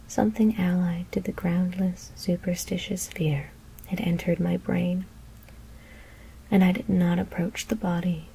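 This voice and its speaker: A woman whispers a scary story in a hushed, deliberate ASMR narration. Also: fairly low pitch, a little hiss or hum, low-quality recording.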